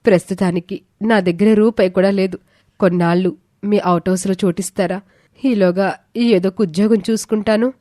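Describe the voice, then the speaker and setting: A woman's voice: mid-range voice, fairly even intonation, moderate pace, warm tone, dry recording, studio quality, lightly acted. A woman voicing a naive young wife in a story, anxious and regretful, with a pleading, emotional delivery.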